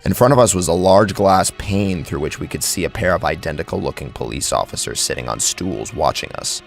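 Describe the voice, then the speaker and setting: A man's voice: very deep voice, expressive, brisk, creaky. A man narrating a scripted first-person horror story dramatically, close on the microphone. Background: background music.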